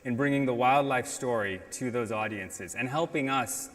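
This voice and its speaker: A man's voice: mid-range voice, moderate pace, fairly bright tone. Middle-aged man presenting in a reverberant hall. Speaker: conference speaker. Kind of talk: prepared stage presentation. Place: large indoor auditorium stage. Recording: reverberant hall, stage talk.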